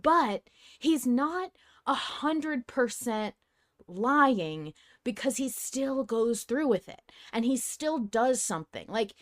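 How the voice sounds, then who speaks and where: A woman's voice: a little high, lively intonation, takes it slowly. Emphatic woman podcaster in her thirties. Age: 30s. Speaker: podcast host. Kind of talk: casual fan discussion podcast.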